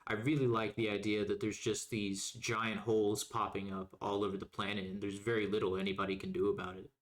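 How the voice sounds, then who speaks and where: A man's voice: fairly low pitch, flat delivery, a little fast. A man co-hosting a podcast, chatting in a laid-back, reflective, conversational way. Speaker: podcast co-host. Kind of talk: casual podcast discussion.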